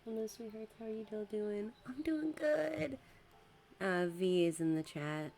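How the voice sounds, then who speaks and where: A woman's voice: mid-range voice, lively intonation, a little slow, vocal fry, darkish tone, a little hiss or hum. Young female streamer, laid-back host, giggly, voice a bit worn, takes her time. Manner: giggly, laughs often between words. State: losing her voice. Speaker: podcast host and VTuber. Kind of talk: casual streamed chat.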